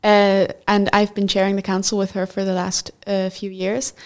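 Even-toned teenage Dutch girl. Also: mid-range voice, normal speed, clear, resonant tone. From Netherlands. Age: teens. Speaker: student committee chair, interview guest. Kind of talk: interview answers.

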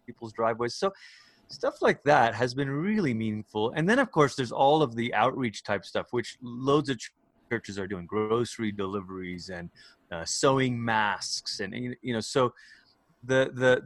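A man's voice: mid-range voice, a bit bright, no room sound. A man from Malaysia, a church leader, talking casually and reflectively as an interview guest on a video call, often adding "you know".

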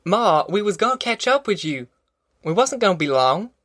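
A man acts out a practical young country man, direct and persuasive. He has a rural Southern American accent and a fairly high voice. The audiobook sound is dry. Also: brisk, a bit bright, no echo, natural voice. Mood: exasperated. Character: plain-spoken young farm man, practical grown son. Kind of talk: audiobook character acting.

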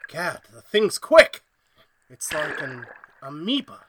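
An actor playing an obsessed, domineering mad scientist in a radio horror play, imperious, excitable and gloating. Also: male voice, a little high, slow, noisy background. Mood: fascinated. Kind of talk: 1930s-style radio horror acting.

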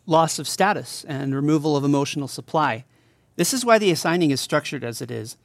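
A man's voice: a little high, no echo, natural voice. A man acting as a thoughtful advocate interviewed in an audio drama, explaining earnestly and didactically. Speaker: interview guest.

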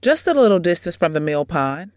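A woman playing a reserved, quiet young woman in an audio drama. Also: fairly low pitch, big pitch swings, fairly quick, dry recording, clean studio sound. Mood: shy, agreeable.

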